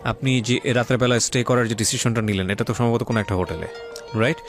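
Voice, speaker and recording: দ্রুত কথা বলা বাংলাদেশি উচ্চারণের পুরুষ উপস্থাপক. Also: male voice, mid-range voice, steady tone, fast talker. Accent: Bangladeshi. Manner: mixes English words into speech. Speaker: radio show host interviewing a guest. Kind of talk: probing conversational interview. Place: radio studio. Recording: faint music behind. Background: faint music.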